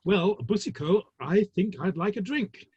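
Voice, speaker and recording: A dry, arch gentleman of the Victorian theatre world played by a man, husky and animated in delivery. He gossips with urbane relish in a dramatic play reading, heard through dull, roomy online audio. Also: high-pitched, a little slow, full character voice. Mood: dry, curious.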